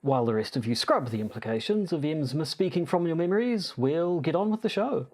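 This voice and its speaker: A man's voice: on the high side, expressive, brisk, darkish tone. A man co-hosting a podcast in casual, bantering chat, with dry, self-deprecating humour. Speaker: podcast co-host. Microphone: lapel mic.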